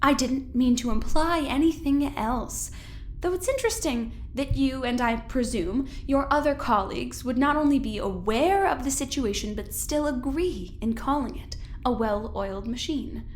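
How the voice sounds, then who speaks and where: A woman's voice: sits high, normal speed, a little hiss or hum, natural voice. A woman playing a polite but calculating scientist in an audio drama, with a genteel, formal, mock-innocent manner. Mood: cool, needling. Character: polite, smug, calculating woman scientist, needling and faux-sweet.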